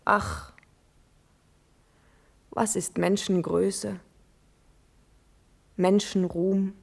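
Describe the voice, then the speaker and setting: Slow, declamatory young princess. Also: female voice, mid-range voice, steady tone, creaky, heavily acted. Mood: shaken, declaiming. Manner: declamatory, elevated, measured verse delivery. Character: young noblewoman princess, passionate, anguished yet proud. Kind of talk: classical German verse radio drama acting.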